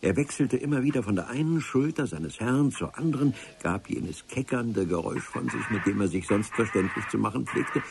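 A man's voice: fairly low pitch, lively intonation, normal speed, vocal fry, hardly any pauses, darkish tone. A man narrating a radio adventure serial in the first person, with a dry, ironic storytelling manner. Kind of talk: scripted radio-play narration. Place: radio drama studio.

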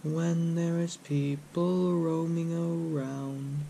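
Male amateur as a menacing animatronic, hushed and sinister, dark tone, unhurried. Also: fairly high pitch, level tone, creaky, a little hiss or hum, pitched above the performer's own voice, full character voice. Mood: eerie, accusing. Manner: whispery, drawn-out, sinister. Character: slow, menacing, accusing horror animatronic.

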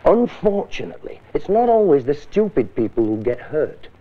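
A man's voice: a little high, big pitch swings, normal speed. A man playing a stern, blunt middle-aged British science teacher, questioning and warning a class in a safety film. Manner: emphatic, questioning, admonishing. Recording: muffled, thin old public information film.

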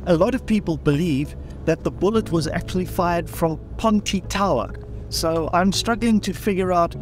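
Wry middle-aged man telling a story. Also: on the high side. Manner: wry, conversational storyteller with dry asides. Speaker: history vlog host. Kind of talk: storytelling vlog narration. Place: outdoors by a car, then driving in a car.

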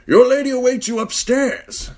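A man's voice: very high voice, steady tone, moderate pace, darkish tone, voice pushed up. A man voicing an old, loyal male knight who calls out urgently. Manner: calls out in alarm.

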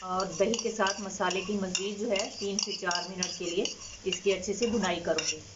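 Calm woman explaining a recipe. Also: mid-range voice, moderate pace, dull audio, heavy background noise. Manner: calm step-by-step explaining with fillers. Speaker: cooking channel host. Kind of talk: recipe instruction over cooking footage. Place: home kitchen.

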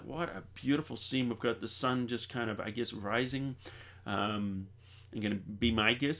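A man's voice: mid-range voice, a bit bright. A middle-aged man, chatting upbeat and direct to viewers as host of a live photo-editing stream from a home studio desk. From New Zealand. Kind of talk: live-stream tutorial chat.

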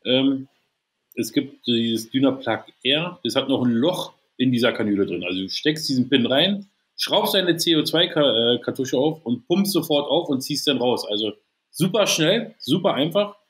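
Co-host, 30s, from Berlin, loose and joking, explains gear, mid pitch, headset. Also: male voice, expressive, moderate pace, fairly bright tone. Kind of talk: casual podcast chat.

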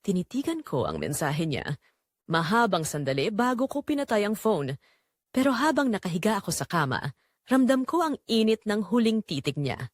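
A woman's voice: medium pitch, very animated, normal speed, no room sound, clean studio sound. A woman playing a guilty middle-aged mother confessing a forbidden affair in an emotional, scripted romantic audio drama. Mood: guilty longing.

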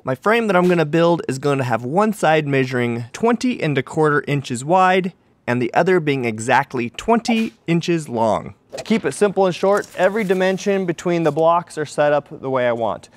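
A man's voice: fairly high pitch, expressive, moderate pace. Plain-spoken man teaching in a workshop. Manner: plain-spoken, explains step by step with questions. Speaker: welding and fabrication instructor. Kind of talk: shop demonstration with voice-over. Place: large metal workshop.

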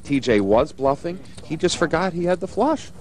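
A man's voice: fairly high pitch, animated, normal speed, crowd noise. A man giving color commentary as an analyst on a televised poker game.

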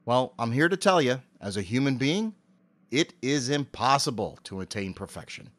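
A man speaking as an interview guest, giving prepared answers in an articulate, measured way. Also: medium pitch, sing-song, fairly bright tone. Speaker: interview guest, amateur voice actor and animator.

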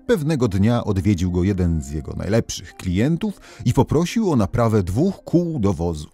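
Guy telling old legends like an audio play, expressive and animated, bit of music behind. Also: mid-range voice. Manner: expressive, theatrical storytelling. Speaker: storyteller. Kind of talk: dramatized narration of folk legends.

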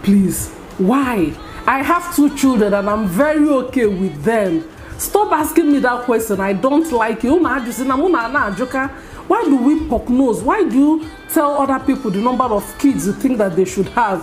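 A woman in her thirties with a Nigerian accent, an Igbo speaker, talking to camera in a get-to-know-me vlog with an animated, scolding, expressive manner. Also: fairly high pitch, normal speed, faint music behind. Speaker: vlogger. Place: small indoor room at home. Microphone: camera at close range.

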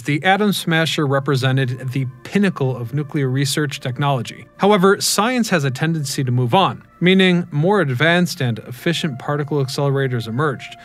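Man narrating history, slightly high voice. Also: fairly bright tone. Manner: measured, explanatory delivery. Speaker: history channel host. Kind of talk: scripted documentary narration. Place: dark studio with neon sign. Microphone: studio mic on boom.

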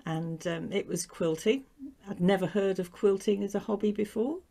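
A woman's voice: medium pitch, normal speed, vocal fry, dark tone. A middle-aged woman from England, with an English accent touched by New Zealand, chatting as a guest over a video call and laughing often. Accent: English with some New Zealand influence. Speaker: guest, quilt shop owner and designer. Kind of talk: casual interview chat. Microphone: video call webcam.

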